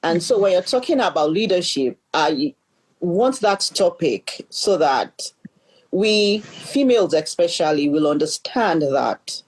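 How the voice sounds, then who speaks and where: A woman's voice: lowish voice, animated, unhurried, husky, rough recording. A middle-aged Nigerian woman, a leadership coach, earnestly telling her life story as a podcast guest and often repeating words as she thinks. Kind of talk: storytelling in an interview.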